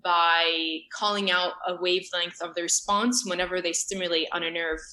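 A young woman with a lowish voice, telling her story as a guest in a relaxed interview. She rambles and corrects herself mid-sentence. A faint hiss of room noise sits under her voice. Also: normal speed. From Syria. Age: young adult. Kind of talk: conversational interview.

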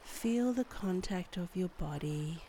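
A woman guiding a meditation in a soft, breathy, soothing voice with measured delivery and long pauses. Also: fairly low pitch, animated, background noise. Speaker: meditation guide and podcast host. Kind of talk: guided body scan meditation, scripted narration.

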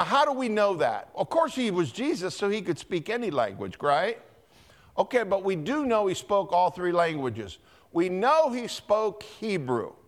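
A man, a pastor, teaching a Bible lesson in church, quizzing the congregation and calling on people by name. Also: fairly high pitch. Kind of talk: interactive Bible teaching with quiz questions.

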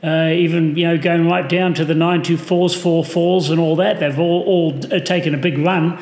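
A man with a New Zealand accent, a guest on a casual podcast, talking haltingly with many ums and restarts. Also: a little high, fairly even intonation, darkish tone, reverberant. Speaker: podcast guest, car owner.

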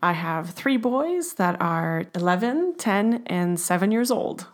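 A woman in her forties with a slightly low voice speaks as a podcast guest. She talks casually about home life, pausing and restarting often as she thinks. Her delivery is relaxed but unsure. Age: 40s. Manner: hesitant, many fillers and restarts. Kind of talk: casual chat.

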